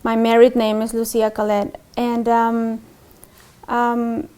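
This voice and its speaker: A woman's voice: on the high side, monotone, takes it slowly, dark tone, smooth. A young adult woman from Mexico with a Mexican Spanish accent, telling her life story in a documentary interview, hesitant, with many fillers and restarts.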